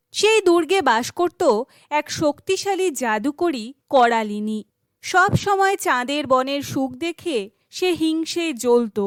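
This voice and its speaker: A woman's voice: high voice, dry recording, clean studio sound. A woman reading scripted fairy tale narration for children, as a storyteller.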